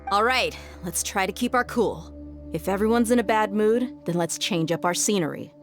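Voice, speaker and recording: A woman's voice: mid-range voice, normal speed, faint music behind, lightly acted. Woman playing an easygoing, confident mercenary leader. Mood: calm, commanding. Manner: casual, commanding. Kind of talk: scripted game dialogue.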